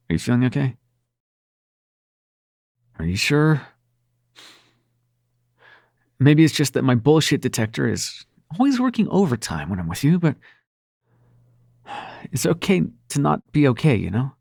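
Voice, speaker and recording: A man's voice: medium pitch, big pitch swings, moderate pace, vocal fry, long pauses, darkish tone, no room sound, studio quality. A man playing a cocky, sarcastic demon prince with a tender side in an intimate audio roleplay, speaking casually with teasing and swearing. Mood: tender, concerned. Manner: casual, sweary, teasing, hesitant when sincere.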